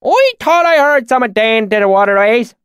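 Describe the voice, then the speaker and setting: A man's voice: high-pitched, big pitch swings, normal speed, dry recording, studio quality, pitched above the performer's own voice. A man voicing a weathered rustic swineherd who speaks in a thick, oily country dialect. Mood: suspicious.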